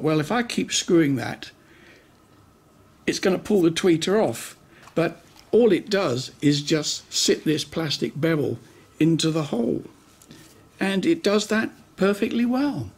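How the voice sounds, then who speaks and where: A man talks through a practical job as he does it, in a slightly high voice with a husky grain. His delivery is very slow and unscripted. He often pauses partway through a sentence while he works. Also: darkish tone. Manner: unhurried, pausing mid-sentence while working. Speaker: host of a hi-fi repair channel. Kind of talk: unscripted hands-on demonstration commentary.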